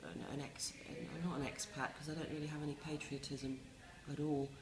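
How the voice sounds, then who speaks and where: A middle-aged English woman, a resident playwright, talking reflectively in a wry, self-mocking way with many pauses and restarts. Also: deep voice, little pitch movement, reverberant, rough recording. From England. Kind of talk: reflective interview talk.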